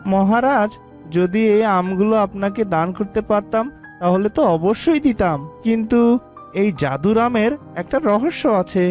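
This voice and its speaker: A woman's voice: mid-range voice, animated, moderate pace, darkish tone, faint music behind, natural voice. Woman acting a crafty, coaxing child vendor. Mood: coaxing, salesy. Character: clever small child mango seller, wheedling salesman. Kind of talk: cartoon moral story dialogue.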